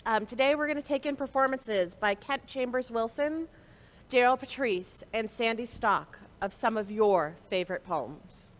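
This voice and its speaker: A woman hosting a service, giving an earnest, deliberate spoken introduction to her congregation. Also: a little high. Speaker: service host.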